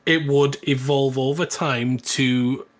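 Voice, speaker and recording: A man's voice: medium pitch, steady tone, takes it slowly. A man co-hosting a podcast, explaining things in casual, bantering conversation. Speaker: podcast co-host.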